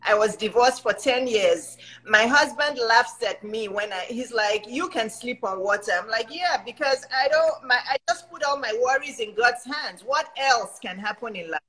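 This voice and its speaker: A Nigerian woman in her fifties hosts a chat over a video call. Her voice sits high, and she talks in an effusive, emphatic way with ready laughter. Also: animated, moderate pace, few pauses, bright, forceful tone, rough recording. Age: 50s. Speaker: host and life coach. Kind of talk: casual video-call interview. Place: indoors in front of a logo backdrop. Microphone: webcam on a video call.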